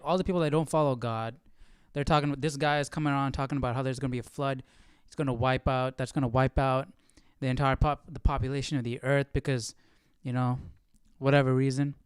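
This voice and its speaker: A man's voice: medium pitch, clear, resonant tone, no room sound, studio quality. A man hosting a livestream, giving casual commentary and musing aloud in an informal way. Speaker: livestream host. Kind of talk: casual Bible study commentary.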